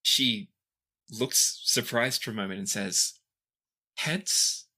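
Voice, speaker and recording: A man's voice: lowish voice, steady tone, unhurried, pushed and bright. A man narrating a tabletop game as game master.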